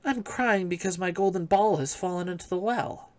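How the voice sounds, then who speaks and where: A man voicing a spoiled young princess in a fairy tale read aloud, pitching up high and eager. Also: moderate pace, a little mellow, lightly acted. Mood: pleading.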